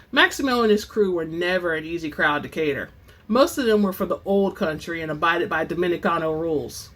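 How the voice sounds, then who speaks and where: A narrator reading and presenting fiction in an expressive, theatrical storytelling manner as host of a reading channel. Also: medium pitch. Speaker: audiobook-style reader and channel host. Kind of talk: dramatic book narration; casual chatty sign-off to listeners.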